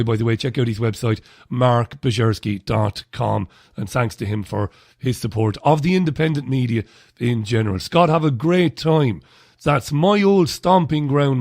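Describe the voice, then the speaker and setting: A man in his forties hosts a live radio show from a studio, chatty, blunt and sardonic. His voice is gravelly and a little throaty, with plenty of fry. The sound is dry and close, with no room echo. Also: mid-range voice, moderate pace, a little mellow. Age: 40s. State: slightly throaty.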